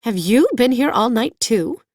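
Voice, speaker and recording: A woman's voice: on the high side, lively intonation, unhurried, darkish tone, dry recording, studio quality, voice pushed up. A woman voicing a polite, curious little girl adrift at sea in a storybook reading. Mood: polite wonder. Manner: polite and wondering.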